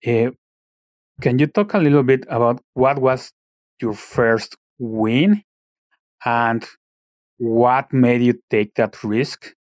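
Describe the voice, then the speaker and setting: A man hosting an interview, asking questions conversationally and a little haltingly, repeating words as he goes. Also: mid-range voice, steady tone, darkish tone, no echo, studio quality. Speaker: interview show host.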